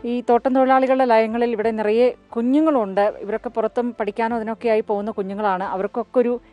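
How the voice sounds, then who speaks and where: A woman's voice: on the high side, fairly even intonation, fast talker, mellow, faint music behind. A woman, reporting from the field into a handheld microphone. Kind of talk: news report to camera and field interviewing. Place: outdoors outside workers' houses.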